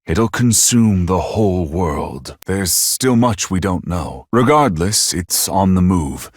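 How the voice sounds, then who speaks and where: An actor playing a polite but scheming, secretly crazed video game villain, with formal, articulate, theatrical phrasing. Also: male voice, low voice, lively intonation, slow, no room sound, exaggerated voice. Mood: grave, courteous.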